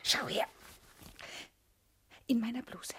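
An actress playing a playful, mocking young wife in a German radio play, coquettish, ironic and teasing. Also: female voice, slow pace, pushed and bright, whispered. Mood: amused, teasing.

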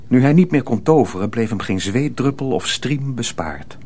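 A man narrating a dark folk tale for a radio drama in a measured, serious manner. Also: mid-range voice, expressive, slight background noise, lightly acted. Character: sober male storyteller of a dark folk tale.

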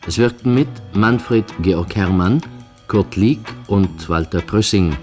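A man's voice: on the low side, takes it slowly. A man reading radio play credits as a formal announcer. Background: clear music.

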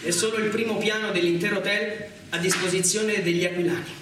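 A man narrating a dramatic stage monologue in a declamatory, measured way with theatrical pauses. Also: high-pitched, fairly even intonation, noisy background. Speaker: solo stage actor narrating. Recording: very echoey theatre recording, distant, with music. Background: music.

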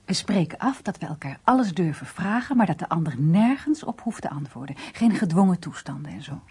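Low, very breathy woman. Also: animated, a little fast. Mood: earnest. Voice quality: breathy, half-whispered. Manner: hesitant, hushed. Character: single woman, reserved, earnest and compassionate. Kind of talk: radio play acting, intimate dialogue.

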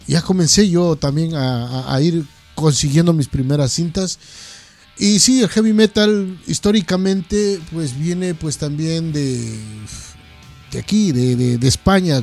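A man chatting casually as a programme host, haltingly and often repeating words. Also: a little high, a little mellow. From Ecuador. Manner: hesitant, repeats words as they go. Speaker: host of a heavy metal radio programme. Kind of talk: casual interview chat.

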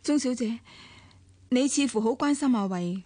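An actress with a Hong Kong accent playing a composed, perceptive young woman in a radio drama, speaking in a measured, probing way. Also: a little high, animated, warm tone, no echo. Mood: calm, probing. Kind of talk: Cantonese radio drama acting.

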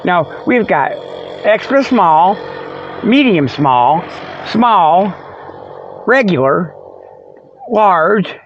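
A man's voice: sits high, expressive, very slow, pauses often, a little hiss or hum. A man hosting a radio show in a rambling, folksy, jokey monologue full of homespun exclamations. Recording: muffled, dull lo-fi radio stream.

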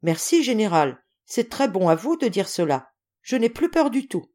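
A woman's voice: mid-range voice, big pitch swings, normal speed, mellow, pitched above the performer's own voice. A woman voicing a small, timid and candid little boy in a storybook, polite and naive. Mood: delighted. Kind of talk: acted storybook reading.

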